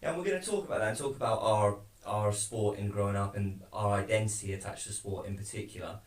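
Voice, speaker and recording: Low-voiced English man, echoey and distant. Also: quick pace. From England. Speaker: podcast host. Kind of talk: casual conversational interview. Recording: echoey room, distant from mic.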